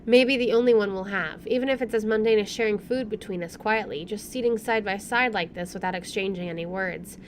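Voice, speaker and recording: A woman streamer reading a visual novel's narration aloud in an expressive, storytelling style. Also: medium pitch, brisk, few pauses, over music. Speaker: let's play streamer. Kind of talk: expressive read-aloud narration of game text. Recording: faint background noise.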